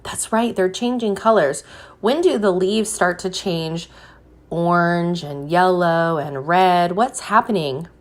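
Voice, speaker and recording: A woman reading a picture book aloud like a teacher, pausing to put questions to young listeners and encourage them. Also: lowish voice. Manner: slow, deliberate, encouraging prompts.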